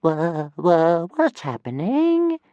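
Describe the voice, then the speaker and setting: A man voicing a tiny, sleepy young male pet dragon who stutters in a squeaky voice, in a storybook reading. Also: wildly swooping pitch, slow, dark tone, smooth, no room sound, muffled recording, heavily acted. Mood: sleepy, amused.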